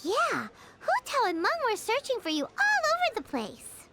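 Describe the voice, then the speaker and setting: A woman's voice: very high voice, huge pitch swings, normal speed, background noise, heavily acted. A woman voicing a tiny, chatty fairy companion who talks about herself in the third person, in a video game. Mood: quizzical. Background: game background music.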